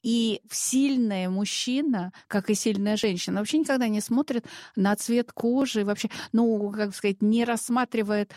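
A woman's voice: on the high side, normal speed, warm tone, dry recording, clean studio sound. A woman in a casual talk-show conversation, speaking emphatically and reflectively with frequent fillers.

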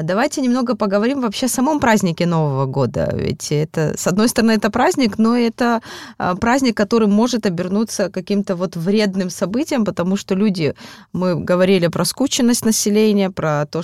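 A woman's voice: medium pitch, expressive, a little fast, a little mellow, no echo, clean studio sound. A woman hosting a radio interview, asking questions with frequent hesitations and fillers. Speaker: radio host. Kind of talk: interviewing a guest.

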